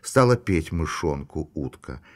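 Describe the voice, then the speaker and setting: Deep slow man reading a storybook. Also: sing-song. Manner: acts out the mouse, duck and toad in turn. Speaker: narrator. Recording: soft music behind the voice.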